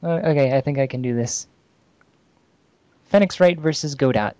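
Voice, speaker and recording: A man casually reading amateur fan fiction aloud on a podcast, halting and amused, with asides. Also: medium pitch, a little mellow, clear, resonant tone, dry recording.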